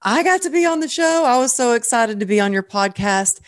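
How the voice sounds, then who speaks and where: A woman's voice: on the high side, lively intonation, brisk, dry recording, studio quality. A middle-aged woman hosting a podcast as a mindset coach, speaking in an effusive, admiring manner. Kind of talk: podcast intro and interview.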